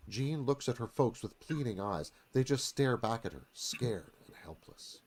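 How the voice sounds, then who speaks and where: Animated male screenplay narrator. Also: mid-range voice, a little slow, breathy edge. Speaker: narrator reading stage directions. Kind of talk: dramatic scripted narration.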